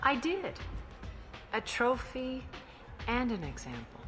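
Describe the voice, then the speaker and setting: A woman's voice: a little high, huge pitch swings, slow pace, a bit bright, soft background music, heavily acted. A woman playing an imperious, smug alien crime boss in a video game, speaking with cool, haughty deliberation. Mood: cool, amused. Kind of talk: video game character acting.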